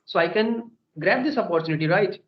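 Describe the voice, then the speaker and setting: A man's voice: high-pitched, animated, brisk. A young man with an Indian accent talks through a casual English conversation, earnest and full of little "okay" check-ins. The sound is dull and muffled, with a noticeable echo from the room around him. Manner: earnest, peppers speech with "okay" and "you know". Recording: dull echoey room recording.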